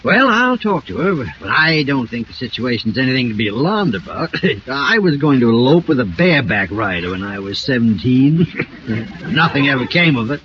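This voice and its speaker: A man plays a crusty, kindly old mayor in an old radio comedy. His middle-pitched voice leaps up and down dramatically as he grumbles and teases dryly. The sound is muffled and slightly noisy. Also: very animated, normal speed, close to an everyday voice. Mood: reassuring. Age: older. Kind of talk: 1940s radio comedy acting.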